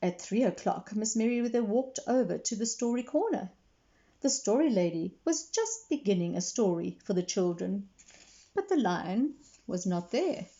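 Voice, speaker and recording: A woman reading a children's story expressively, pausing to explain and put questions to young listeners. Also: mid-range voice, lively intonation, dark tone, lo-fi sound. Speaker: read-aloud storyteller for children.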